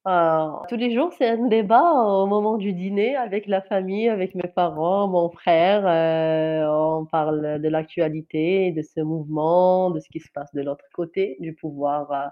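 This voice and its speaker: A woman journalist from Algeria whose first language is Kabyle, speaking in an interview in an earnest, halting way with many restarts and fillers. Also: on the low side, muffled recording. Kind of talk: interview answer, personal account.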